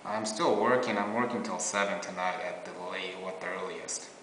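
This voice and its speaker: A man's voice: low-pitched, fairly even intonation, moderate pace, pushed and bright, slight background noise. A man in his twenties giving a casual, laid-back product demo in an informal way. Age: 20s. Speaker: product presenter. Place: small bare meeting room indoors. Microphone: camera on table, about arm's length or farther. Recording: echoey room, faint music.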